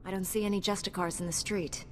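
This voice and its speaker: A woman's voice: mid-range voice, steady tone, lightly acted. Woman playing a noble knight, echoing reverb. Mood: composed, resolute. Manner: formal, measured, resolute. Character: noble, composed female Jedi knight, steadfast and compassionate. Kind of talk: video game voice acting. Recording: long reverb, clear background noise.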